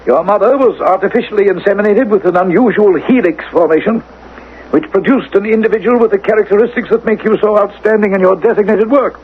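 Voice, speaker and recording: Grave high-pitched provost, played by a man, old radio sound. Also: close to an everyday voice. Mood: coldly commanding. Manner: formal, measured, authoritative. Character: grave commanding male provost of future world rulers. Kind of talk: 1980s radio drama acting. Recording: narrow-band old radio recording, faint noise.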